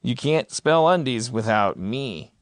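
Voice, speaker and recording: A man doing a conversational, comedic sponsor read, improvising it in halting phrases. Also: mid-range voice, lively intonation, no echo, studio quality. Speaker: show host in sponsor read.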